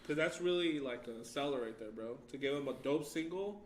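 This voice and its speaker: A man's voice: medium pitch, a little fast, slight background noise. A young man hosting a casual podcast chat, easygoing and slangy, often ending lines with "bro.". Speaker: podcast host.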